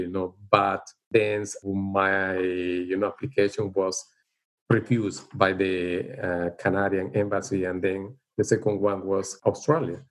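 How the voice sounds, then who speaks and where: A middle-aged man from El Salvador with a Salvadoran Spanish accent, speaking English as a second language in a casual interview, halting and unhurried, with frequent fillers. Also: on the low side, poor audio. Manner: unhurried, halting, with frequent "you know" and light laughs. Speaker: interviewee, teacher. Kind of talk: casual life-story interview.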